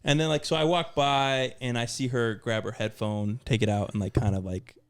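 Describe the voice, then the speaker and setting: A man's voice: medium pitch, moderate pace, smooth. A man in his late twenties, a podcast co-host telling stories in casual chat and acting out what people said. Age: 20s. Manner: animated storyteller, acts out quotes.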